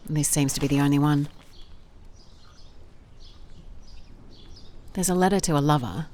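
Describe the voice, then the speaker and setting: A woman's voice: deep voice, warm tone. A woman playing a wry, observant private investigator in an audio drama, with a dry, conversational manner. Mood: curious, focused. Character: wry, observant female private investigator narrating her case. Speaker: lead actor in an audio drama. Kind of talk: audio drama case notes and dialogue.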